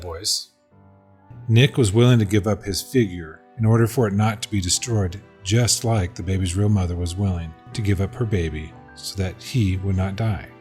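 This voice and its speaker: A father narrates a home-made audio drama in a low, gentle voice, earnest and warm toward his sons. Soft music sits beneath his storytelling.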